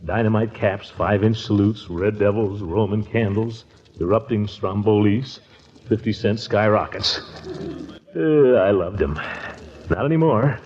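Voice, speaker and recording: An actor playing a pompous, easily flustered middle-aged uncle who also narrates a 1940s radio comedy in a theatrical, blustering way. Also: male voice, on the low side, unhurried, darkish tone, slight background noise, natural voice. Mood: nostalgic. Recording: muffled vintage 1940s radio broadcast.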